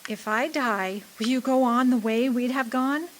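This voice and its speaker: An actress playing a tender, devoted young sweetheart with a wistful, sentimental delivery in a radio drama. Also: female voice, a little high, measured pace, close to an everyday voice. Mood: pleading.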